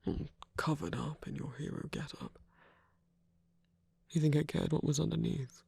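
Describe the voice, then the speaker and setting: An actor voices a villain gone tender, soothing a lover in intimate roleplay. The voice is heavily breathy and husky with a gravelly fry, often dropping to a whisper. It sits very close and dry on the microphone, gentle and coaxing. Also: mid-range voice, lively intonation, moderate pace, no echo, full character voice. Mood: tender, earnest. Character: tender villain comforting a former hero lover. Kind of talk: intimate ASMR romantic roleplay.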